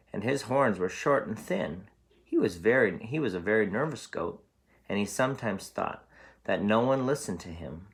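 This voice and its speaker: A man reading a storybook aloud as a teacher, explaining things simply for young children. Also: lowish voice.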